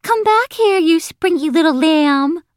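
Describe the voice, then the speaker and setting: A woman voicing an eager, excitable little boy who shouts, in a children's audiobook. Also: extremely high pitch, measured pace, no room sound, clean studio sound, voice pushed up. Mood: eager, calling out.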